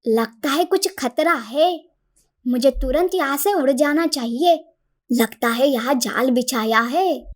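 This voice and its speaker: A woman voicing a chatty, clever female talking parrot in a cartoon story, with a sassy, quick-witted manner. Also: high-pitched. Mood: wary. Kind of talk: cartoon voice acting.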